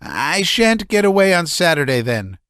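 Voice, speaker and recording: Overwrought, stammering young man, voiced by a male reader: high, shrill, swinging pitch. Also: sing-song, normal speed, no echo, clean studio sound. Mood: agitated. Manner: shrill, stammering, near-shouting.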